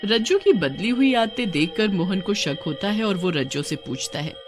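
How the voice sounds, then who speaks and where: A woman's voice: mid-range voice, big pitch swings, moderate pace, music in the background. A woman narrating a scripted cartoon story.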